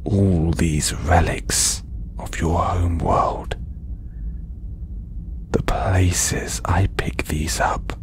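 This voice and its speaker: A man playing a condescending, possessive alien collector in an intimate, breathy audio roleplay. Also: low voice, fairly even intonation. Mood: smug warning. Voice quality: breathy, whispery. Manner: condescending, slow, coaxing. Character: condescending, possessive male alien collector keeping a human specimen. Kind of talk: audio roleplay acting, intimate ASMR delivery.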